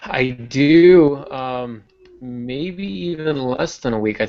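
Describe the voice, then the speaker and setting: Male podcast host, creaky fry in the voice, explanatory and self-deprecating, slightly dull lo-fi sound. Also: mid-range voice, lively intonation, slow pace, a crowd in the background. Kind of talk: casual podcast talk.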